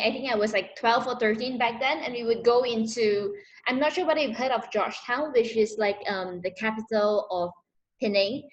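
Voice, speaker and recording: A woman's voice: a little high, muffled recording. Young woman on a video call. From Malaysia. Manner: relaxed, laughs often, many fillers. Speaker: interviewee, dancer. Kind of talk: casual interview answers.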